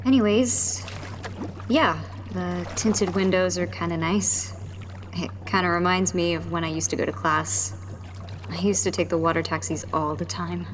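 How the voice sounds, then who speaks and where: A woman playing a tense young rebel leader who talks brusquely and is quick to panic. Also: on the low side, steady tone, noisy background, close to an everyday voice. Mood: dry. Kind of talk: audio drama acting.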